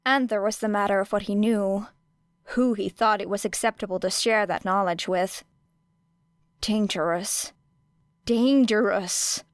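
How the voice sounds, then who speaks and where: A woman reading fan fiction aloud as an audiobook, in a measured storytelling manner. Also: mid-range voice, fairly even intonation, dry recording. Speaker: podfic narrator.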